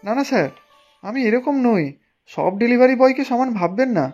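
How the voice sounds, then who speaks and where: A man's voice: very high voice, lively intonation, a little fast, darkish tone, highs cut off, faint music behind. An actor playing a polite, humble young delivery boy in a cartoon, respectful and earnest. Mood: pleading. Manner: pleading, respectful. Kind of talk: animated cartoon dialogue.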